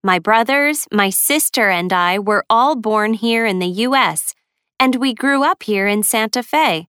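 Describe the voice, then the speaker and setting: A woman plays a young dance teacher in her twenties for a language lesson. Her voice is smooth and slightly high, her delivery plain and careful. The sound is dry and close. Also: lively intonation, unhurried, fairly bright tone, dry recording. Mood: calm. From United States. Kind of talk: scripted textbook dialogue.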